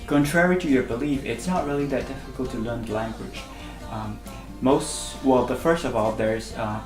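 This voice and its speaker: A man's voice: mid-range voice, reverberant. A man whose first language is Burmese, teaching a language lesson and patiently repeating each sound three times. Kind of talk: slow instructional lesson.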